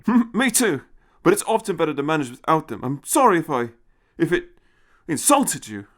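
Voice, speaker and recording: A man's voice: fairly high pitch, big pitch swings, moderate pace, pitched above the performer's own voice. A man voicing a polite, hesitant male human space traveller in a narrated science fiction story. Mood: hesitant, conciliatory.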